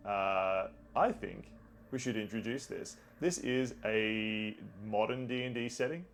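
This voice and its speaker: A man's voice: measured pace, a little hiss or hum. A man hosting a tabletop game as game master, chatting casually with a playfully theatrical manner. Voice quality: deep, gravelly.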